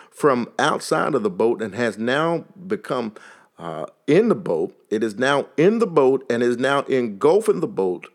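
A man with a Texas accent hosting a podcast and giving a motivational talk, repeating phrases and building emphasis like a preacher. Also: mid-range voice. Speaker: podcast host.

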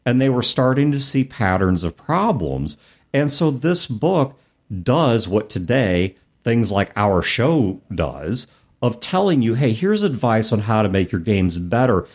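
A middle-aged man hosting a podcast, talking conversationally and emphatically, often listing words one by one for effect. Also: mid-range voice. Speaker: podcast host. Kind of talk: conversational commentary on tabletop gaming.

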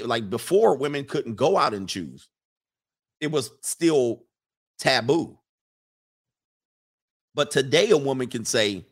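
A man's voice: medium pitch, vocal fry, fairly bright tone. A man hosting a livestream, rambling casually, reading chat comments aloud and laughing often. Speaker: livestream host.

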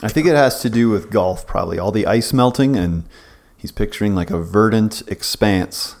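Wry, low-voiced man co-hosting. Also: normal speed, gravelly, darkish tone. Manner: wry, teasing, asks probing questions. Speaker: podcast co-host. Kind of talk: casual chat.

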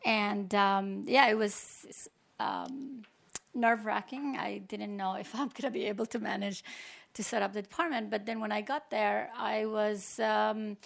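A woman's voice: mid-range voice, fairly even intonation, unhurried, vocal fry, fairly bright tone. A middle-aged woman from Palestine, a computer science professor, speaking as a panel guest in a hesitant, unscripted way with many restarts.

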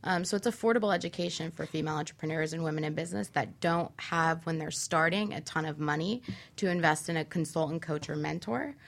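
Female entrepreneur guest. Low-ish and creaky, conversational delivery. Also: fast. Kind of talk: casual interview chat.

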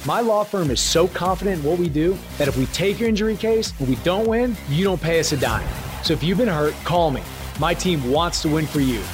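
Brief: male lawyer ad read, confident and punchy, clear music bed. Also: on the high side, expressive, fairly quick. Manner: confident, clipped boasts. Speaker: attorney in a radio ad. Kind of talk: scripted radio ad.

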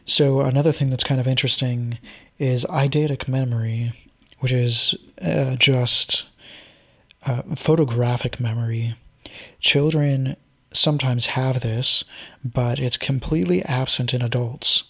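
A man giving an unscripted explanatory monologue, speaking in a thoughtful, speculative way with frequent pauses and ums. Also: medium pitch, fairly even intonation, creaky, a bit bright, breathy edge.

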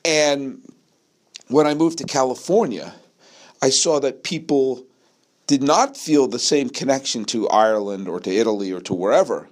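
A man's voice: medium pitch, moderate pace. Emphatic American man talking sports. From New England, United States. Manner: emphatic, rhetorical questions, repeats phrases for effect. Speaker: sports podcast host. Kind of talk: opinionated solo sports monologue.

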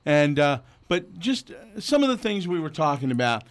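Clear, higher-voiced male sports co-host. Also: very animated, normal speed. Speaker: sports talk show co-host. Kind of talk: casual radio chat.

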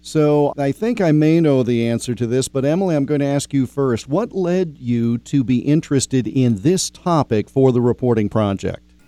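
A man hosting a public radio interview program, speaking in a measured, conversational way. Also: medium pitch, lively intonation, warm tone, no echo. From Iowa.

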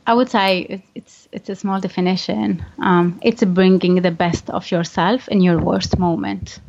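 A woman's voice: lowish voice, steady tone, a little slow, vocal fry, a little mellow. Algerian-born woman, muffled interview audio. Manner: frequent "um" fillers and restarts, earnest. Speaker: guest interviewee, finance professional. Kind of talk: conversational interview answers.

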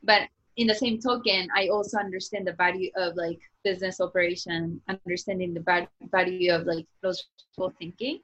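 A Japanese woman whose first language is Japanese, speaking English with a Japanese accent as a guest author, chatty and lively and full of "like" fillers. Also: on the low side, fairly quick, lo-fi sound. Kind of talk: casual interview talk.

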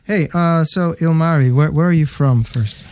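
A man's voice: a little high, normal speed, darkish tone, clean tone, no echo. A man hosting a casual music interview, hesitant, with many ums and restarts. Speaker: host.

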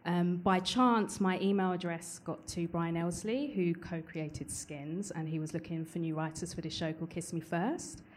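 A woman's voice: fairly low pitch, steady tone, moderate pace, hardly any pauses, a little hiss or hum. A female screenwriter on a live panel, telling her start plainly and concisely.

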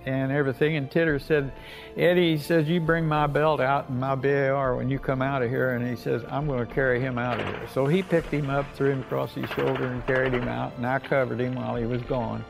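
Elderly American male veteran, oral-history storyteller, plain-spoken and folksy, with a gravelly edge over a music bed. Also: medium pitch, little pitch movement, fairly quick, low-quality recording. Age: older. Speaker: World War II veteran recounting memories. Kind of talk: unscripted oral-history reminiscence.

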